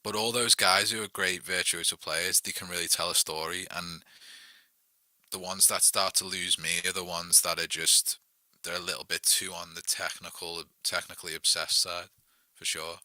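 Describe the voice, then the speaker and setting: Bright, deep-voiced Liverpool man chatting. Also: little pitch movement, normal speed, no room sound. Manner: loose, filler-heavy, lots of "like" and "you know what I mean". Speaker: songwriter guest. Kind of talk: casual chat.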